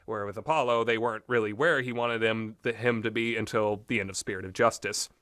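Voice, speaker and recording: Bright-voiced man rambling through a casual review. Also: lowish voice, fairly even intonation, fairly quick, dry recording, studio quality. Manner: rambling, often breaks into amused laughter. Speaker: video game reviewer.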